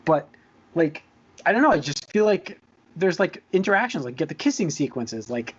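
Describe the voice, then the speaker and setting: A man's voice: a little high, sing-song, moderate pace, husky, highs cut off. A man hosting a casual movie-news podcast, talking in a hesitant way and repeating himself as he makes his point. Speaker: podcast host.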